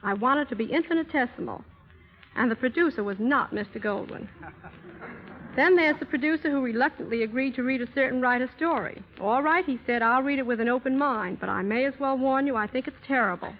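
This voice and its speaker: A woman speaking as an interview guest in a scripted, deliberate 1930s radio style, on a muffled old broadcast. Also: high voice.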